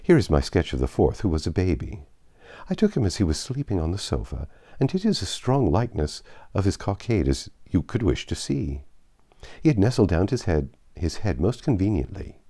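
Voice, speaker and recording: A man reading a classic novel aloud and voicing a confident young gentlewoman who talks in a poised, lightly ironic way. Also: sits low, sing-song, moderate pace, heavily acted.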